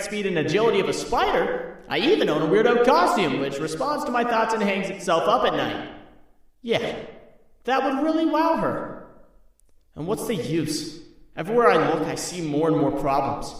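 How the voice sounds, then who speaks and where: Guy doing anxious young superhero for a comic dub, self-doubting, kinda high voice, far from the mic. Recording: very echoey room, distant from mic.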